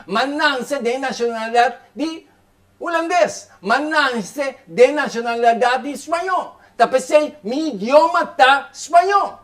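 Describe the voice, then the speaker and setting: A man's voice: very high voice, unhurried, fairly bright tone. A man preacher delivering a fervent sermon, repeating phrases as he builds his point.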